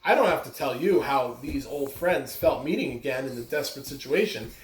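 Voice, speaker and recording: Storybook reader for a kids' read-aloud, expressive delivery, mid-pitched, roomy sound with noticeable background noise. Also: brisk. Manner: expressive, with brief asides to listeners.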